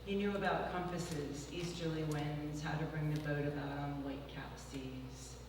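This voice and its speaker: A woman with a soft voice reading from her story collection at a live event in a large former church hall, casual and hesitant when chatting, unhurried when reading. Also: low voice, steady tone. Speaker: author reading her fiction. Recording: distant mic in a very echoey hall, hum of noise.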